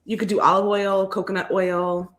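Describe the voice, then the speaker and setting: A woman co-hosting a craft livestream, chatting casually with a raspy morning voice, telling a story and laughing often. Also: medium pitch, level tone, moderate pace, fairly bright tone. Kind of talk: casual chat.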